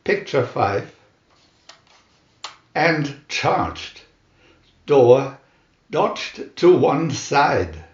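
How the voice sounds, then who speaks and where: A man reading a scripted adventure story aloud in a dramatic, deliberate narrator's manner. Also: mid-range voice, sing-song, reverberant, highs cut off. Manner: dramatic, deliberate comic-adventure reading with announced picture numbers. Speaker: narrator and reader.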